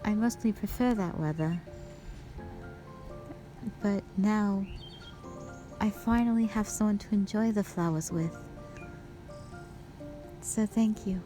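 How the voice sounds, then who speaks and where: A devoted, wistful dragon wife, acted by a woman in a romantic roleplay drama. She speaks slowly and tenderly at a medium female pitch, with old-fashioned, formal phrasing. Music plays clearly underneath her voice. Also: dark tone, lightly acted. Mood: fond, grateful.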